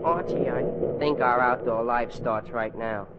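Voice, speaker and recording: A man's voice: mid-range voice, fairly even intonation, unhurried, natural voice. An actor playing a droll, literal-minded teenage boy with a dry, wisecracking manner in a 1940s radio comedy. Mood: wry. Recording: muffled, noisy 1940s radio broadcast.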